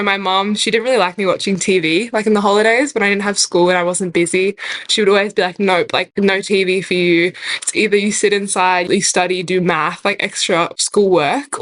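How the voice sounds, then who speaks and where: A woman's voice: medium pitch, a bit bright. Teenage girl, from Hungary, chatty guest, filler-heavy casual talk. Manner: chatty, many "like" fillers, laughs often. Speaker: young basketball player, guest. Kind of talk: casual interview chat.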